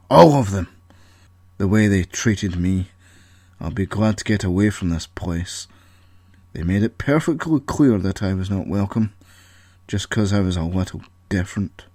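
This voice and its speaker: A man's voice: lowish voice, mellow, no echo, lightly acted. A man voicing a bitter, distrustful male outcast in an audio story, grumbling and sarcastic. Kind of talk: audio story character acting.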